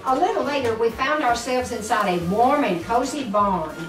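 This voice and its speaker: Older woman, grandmotherly storybook reading, very animated, distant from the mic, explains to a child. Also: on the high side, normal speed. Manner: expressive, explaining to a small child. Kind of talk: storybook read-aloud with asides to a child. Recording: roomy, distant mic, music behind. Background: music.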